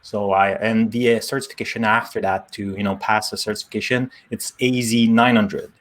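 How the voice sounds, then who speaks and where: A man's voice: fairly low pitch, steady tone, moderate pace, fairly bright tone. A man with a French accent, whose first language is French, chatting casually and explaining things on a tech stream, with small grammar slips. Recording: online stream.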